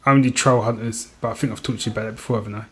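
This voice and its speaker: A man co-hosting a podcast, chatting casually about TV shows in a slangy, animated storytelling way, often checking that his listener follows. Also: lowish voice, very fast, husky. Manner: animated retelling, slangy, peppered with "you know what I mean". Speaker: podcast co-host.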